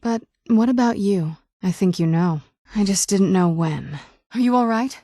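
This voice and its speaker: A woman's voice: mid-range voice, animated, a little slow, dark tone, no echo. An actress playing a young female vampire companion in a video game, with a dry, guarded, sardonic manner. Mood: calm, friendly. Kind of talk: video game character voice acting.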